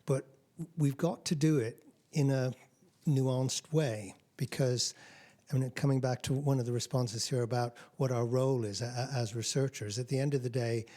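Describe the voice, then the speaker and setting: A man's voice: mid-range voice, steady tone, normal speed, dark tone. A man on a research panel, answering carefully and hedging his points.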